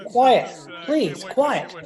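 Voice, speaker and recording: A woman's voice: on the high side, sing-song, drawn-out words, a crowd in the background, heavily acted. A woman playing an officious husband who formally announces his wife like a master of ceremonies in an old-time radio drama.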